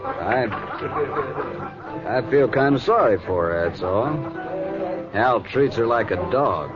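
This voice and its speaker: Cocky young cowhand, American, mid-pitched, quick to bristle, vintage radio western, muffled under loud music. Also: male voice, lively intonation. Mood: defensive, cocky. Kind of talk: 1950s western radio drama acting. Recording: dull 1950s radio, loud music behind.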